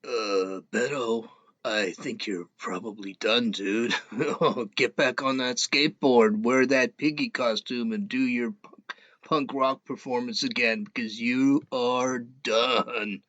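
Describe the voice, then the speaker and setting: A man's voice: medium pitch, slow pace, fairly bright tone, no room sound, lo-fi sound. A man hosting a podcast, ranting casually in a mocking, scoffing way, rambling with frequent restarts. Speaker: podcast host. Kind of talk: casual opinionated rant.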